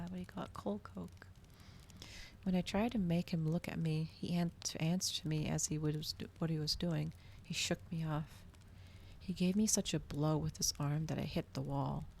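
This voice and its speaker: A woman streaming an ASMR storybook reading in a hushed voice that often slips into whispers. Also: on the low side, creaky, a little hiss or hum.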